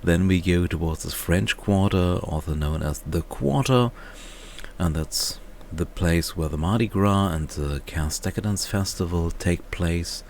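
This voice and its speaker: Deep male voice, slow delivery, casual reviewer, halting and unscripted. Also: darkish tone, a little hiss or hum. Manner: halting, searching for words, often chuckling to himself. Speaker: reviewer, video host. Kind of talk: casual off-the-cuff book review.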